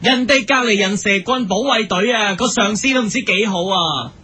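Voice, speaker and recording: Casting: male actor as petulant, vain squad hero, very high bright voice, comic radio play. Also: normal speed, breathy edge, exaggerated voice. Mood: grumbling. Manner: grumbling, petulant, melodramatic. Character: whiny, vain male rookie hero in parody cartoon squad. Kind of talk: comedic radio drama acting.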